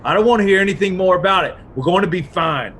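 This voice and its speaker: A man playing a hot-tempered, foul-mouthed survivor with a loud, exasperated delivery in a drama table read. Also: high-pitched, quick pace. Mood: angry, fed up.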